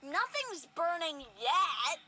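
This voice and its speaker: A woman in a fan audio drama playing a brash, hot-headed female fish warrior, loud and stubborn. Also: very high-pitched, sing-song, slow, noisy background, full character voice.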